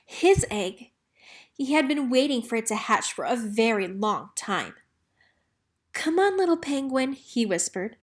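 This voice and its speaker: Female storybook narrator, fairly high voice, expressive scripted read-aloud for children. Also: measured pace. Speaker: storybook reader.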